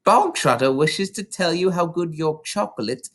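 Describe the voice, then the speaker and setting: A menacing storybook headmistress, a bullying woman who booms out commands. Her pitch jumps high and swoops widely, sliding into crafty, sugary persuasion that is plainly false. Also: lively intonation, moderate pace. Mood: booming, gloating. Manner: booming, commanding, mock-gentle wheedling.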